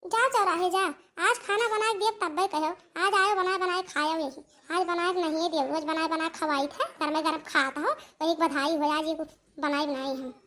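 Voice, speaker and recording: A woman's voice: very high voice, steady tone, moderate pace, sharp tone. A woman voicing a feisty, easily offended village wife in a cartoon comedy, with an Awadhi accent and a rustic scolding manner. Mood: indignant.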